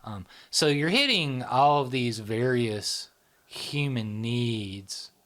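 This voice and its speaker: A man's voice: mid-range voice, expressive, takes it slowly, fairly bright tone. A middle-aged man talking casually in an interview, rambling and often checking in with "right?" and "you know?". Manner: rambling, filler-heavy, keeps asking "right?" and "you know?". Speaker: psychology channel founder and host.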